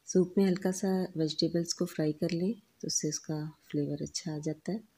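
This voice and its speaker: Low, husky-voiced woman teaching a recipe. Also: normal speed. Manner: calm, instructive, lists ingredients and quantities. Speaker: cooking channel host. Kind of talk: step-by-step recipe voice-over.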